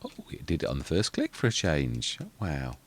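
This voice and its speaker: Brief: man, very deep and quiet, rambling gameplay commentary. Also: huge pitch swings, moderate pace, husky. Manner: rambling, self-correcting, muttering asides. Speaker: gaming channel host.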